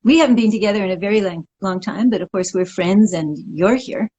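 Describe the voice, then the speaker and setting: A woman's voice: mid-range voice, brisk, dark tone, slightly rough, highs cut off. A woman in her sixties, from Montreal, answers interview questions in a dry, wry, thoughtful way. She speaks over a video call from her living room. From Montreal, Canada. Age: 60s. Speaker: guest. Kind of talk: casual interview.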